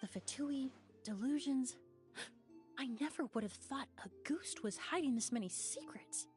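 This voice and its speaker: An actress playing an earnest, sharp-minded young female investigator who reasons articulately in video game dialogue. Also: sits high, a little slow, soft background music. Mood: startled, realising.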